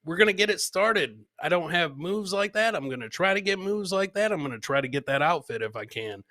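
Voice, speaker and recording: A man's voice: sits high, expressive, a little fast, sharp tone, no echo. A man hosting a comedy podcast, commenting casually on clips in a loose way and often repeating his phrases.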